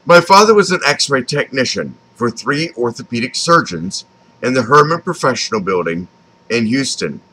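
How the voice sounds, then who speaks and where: Male memoir narrator, fifties, American accent. Bright, animated intonation, deliberate scripted read. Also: mid-range voice, breathy edge, rough recording. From Texas, United States. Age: 50s. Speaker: author reading his own memoir.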